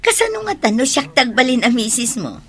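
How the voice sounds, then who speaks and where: An actress playing a flirtatious, gossiping friend with a Filipino Ilocano accent, teasing and forward in a conversational radio drama. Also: female voice, fairly high pitch, sing-song, brisk, slight background noise.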